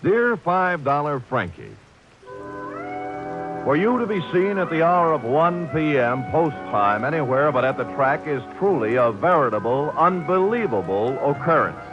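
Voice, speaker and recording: An American man playing a sharp-tongued, glib private detective in a 1940s radio drama. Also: a little high, expressive, a little slow, over music. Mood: mock-formal dictation. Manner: glib, wry, mock-grandiose wordplay. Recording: dull vintage 1940s radio recording.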